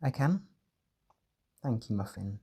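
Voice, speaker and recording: A man performing a gentle, doting caretaker in an intimate comfort roleplay, speaking softly and reassuringly with tender pet names. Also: medium pitch, animated, slow, breathy edge. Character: gentle, doting male caretaker soothing an overwhelmed partner.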